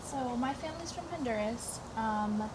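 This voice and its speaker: A woman's voice: a little high, lively intonation, normal speed. Young woman, hesitant, far from mic. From northeastern United States. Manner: hesitant, many "um"s. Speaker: veterinary student. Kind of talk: casual group interview. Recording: distant mic with clear background noise.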